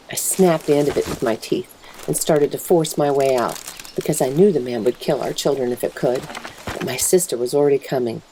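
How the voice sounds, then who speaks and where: A woman voicing an anguished, plainspoken mother wolf in a dramatic first-person story reading, grave and emotive, with a husky, breathy voice. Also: extremely low pitch, warm tone, slight background noise, rough recording. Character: mother wolf telling of her husband's horrifying change, anguished, plainspoken.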